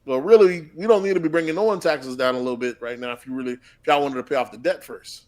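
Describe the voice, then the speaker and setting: A man's voice: medium pitch, quick pace. A man co-hosting a casual podcast, rambling and restarting his sentences and often asking "you know what I'm saying". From Haitian heritage. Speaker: podcast co-host.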